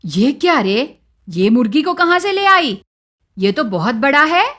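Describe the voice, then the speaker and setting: A woman's voice: sits high, big pitch swings, unhurried, a bit bright, heavily acted. A woman voicing a cruel, greedy older mother-in-law who speaks in a harsh, sneering, threatening way in a moral story. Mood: greedy, bossy.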